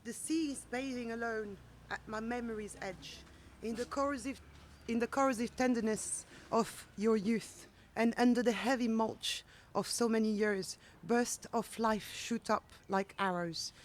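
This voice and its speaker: A woman reading poetry aloud at a live event in a measured, careful way. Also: a little high, a little hiss or hum. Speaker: poetry reader and translator. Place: outdoors at a gallery event.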